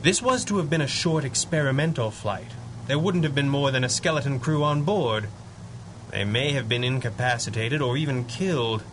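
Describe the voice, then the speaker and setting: Confident masked mystery man, male actor, old-time radio serial; brisk, dry wit, noisy rumbling background. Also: close to an everyday voice. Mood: urgent, reasoning. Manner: brisk, deductive, dryly witty. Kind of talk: 1930s-style radio adventure acting.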